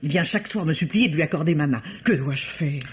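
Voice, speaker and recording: A woman's voice: low voice, animated, quick pace, slight background noise. An actress playing a coquettish, gossipy, much-married woman, dramatically hesitant, with theatrical gushing delivery in 1950s French radio mystery style. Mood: coy, fretting. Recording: dull vintage radio recording.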